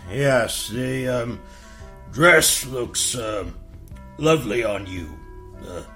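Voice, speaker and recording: A man's voice: mid-range voice, expressive, drawn-out words, sharp tone, soft background music, exaggerated voice. A man playing a shallow, hard-headed, blustery king and father in a comic audio fairy tale. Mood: distracted, placating.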